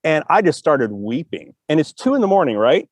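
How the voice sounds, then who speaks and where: Earnest man telling stories, slightly higher voice. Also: big pitch swings, fairly quick, dry recording. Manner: earnest and emotive, acts out remembered dialogue. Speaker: podcast host and audio drama writer. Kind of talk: casual conversational storytelling.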